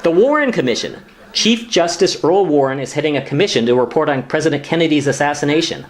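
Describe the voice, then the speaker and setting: A man's voice: a little high, expressive, quick pace, a little hiss or hum. A man playing an earnest, deferential junior lawyer, easily exasperated, in a radio sitcom. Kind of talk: sitcom-style radio play dialogue.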